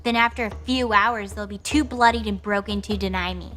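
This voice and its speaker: A woman's voice: mid-range voice, fairly even intonation, fairly quick, a bit bright, faint music behind, natural voice. A woman playing a cunning, combative young girl godling in an audio drama, threatening and defiant. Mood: scheming.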